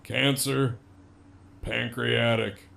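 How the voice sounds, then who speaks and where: A man acts a middle-aged rogue with heavy gravel in his throat. He talks in an unhurried, sardonic drawl, profane and teasing, as a cocky captive villain in an audio drama. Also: medium pitch, level tone, a bit bright, a little hiss or hum, full character voice. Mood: subdued, grieving. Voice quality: gravelly, creaky.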